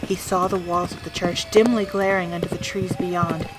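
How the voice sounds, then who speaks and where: A woman's voice: on the low side, little pitch movement, normal speed, over loud music, poor audio. A woman narrating a scripted audio drama in a theatrical, storytelling manner. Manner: theatrical, swelling from hushed to loud.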